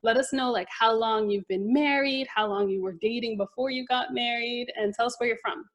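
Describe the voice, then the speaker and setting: A woman from New Jersey hosting a casual talk show, upbeat and bubbly and quick to laugh. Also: on the high side. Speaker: host.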